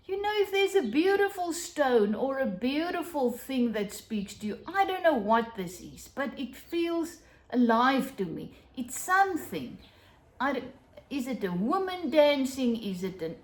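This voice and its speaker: A middle-aged South African woman whose first language is Afrikaans, chatting to camera in a gushing, enthusiastic way about things she has found. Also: high-pitched. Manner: gushing, enthusiastic, exclaiming over small finds. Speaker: vlogger. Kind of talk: casual chat to camera.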